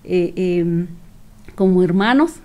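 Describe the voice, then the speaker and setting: A middle-aged woman with a Guatemalan accent giving earnest, reflective interview answers in a measured way, with pauses. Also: fairly low pitch, lively intonation, darkish tone. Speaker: activist guest. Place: small radio studio with several people. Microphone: studio microphone on stand.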